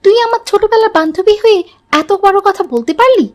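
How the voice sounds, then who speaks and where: A woman's voice: very high-pitched, quick pace. A woman voicing a headstrong young college girl in a moral cartoon story. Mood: defiant. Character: headstrong college girl Fatema, later remorseful.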